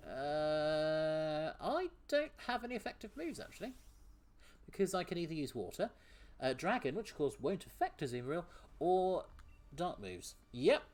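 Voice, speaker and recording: Lively, chatty man streaming a game. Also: a little high, moderate pace. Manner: chatty, laughs often, reads chat aloud; trips over words at times. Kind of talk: casual gaming stream commentary.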